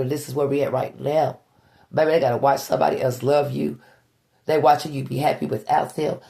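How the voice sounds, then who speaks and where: Blunt, gravelly-voiced woman tarot reader. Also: deep voice, dark tone. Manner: blunt, profane, preachy, repeats herself. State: stuffy nose. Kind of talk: tarot reading monologue.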